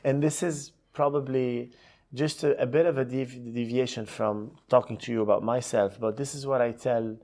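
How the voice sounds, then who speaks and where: A man's voice: medium pitch, steady tone, moderate pace, darkish tone. A middle-aged man, telling his career story conversationally as an interview guest. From Lebanon. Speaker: podcast guest, hotel executive.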